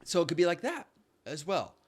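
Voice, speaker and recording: Animated young man telling stories. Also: a little fast, breathy edge. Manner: animated, rising to high-pitched excitement in stories. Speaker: podcast co-host. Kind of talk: casual storytelling chat.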